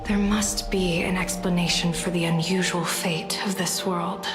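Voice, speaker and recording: A woman's voice: on the low side, flat delivery, a little slow, close to an everyday voice. An actress playing an inquisitive, self-assured female seer in a video game, articulate, formal and probing. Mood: curious. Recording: echoey hall with music behind.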